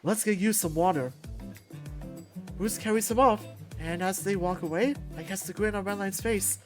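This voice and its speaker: A woman reading a visual novel aloud as expressive first-person narration. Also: mid-range voice. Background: music.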